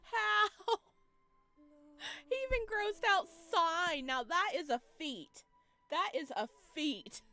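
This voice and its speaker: A woman's voice: very high-pitched, animated, takes it slowly, pushed and bright. A reactive, giggly woman streaming a visual novel, commenting and reading its text aloud, with music behind. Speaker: game streamer.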